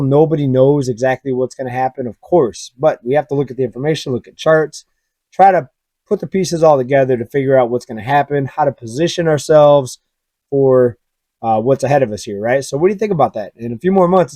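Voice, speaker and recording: Male finance commentator, hoarse and a bit raspy, mellow tone, casual and direct, dry booth sound. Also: mid-range voice, no room sound. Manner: conversational, asks viewers directly, many fillers. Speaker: finance YouTuber. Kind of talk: casual commentary over charts.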